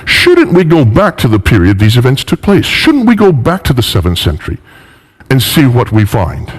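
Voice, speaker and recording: A man in middle age delivers an animated, argumentative lecture. His pitch swings widely as he drives points home, posing rhetorical questions and repeating phrases for emphasis. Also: normal speed, husky. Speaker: lecturer in religious history.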